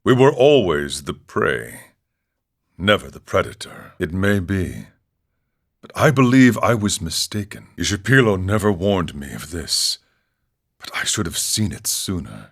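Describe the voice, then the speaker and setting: A male voice actor playing a grave, proud yet regretful warrior monk in a video game, speaking in a measured, philosophical way. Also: low voice, creaky, a bit bright, exaggerated voice. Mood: sorrowful, resigned. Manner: measured, philosophical, speaks of himself in third person. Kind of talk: scripted video game character dialogue.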